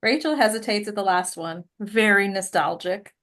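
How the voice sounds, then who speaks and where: A woman's voice: mid-range voice, moderate pace, a bit bright. A woman reading stage directions as scripted narration at a table read.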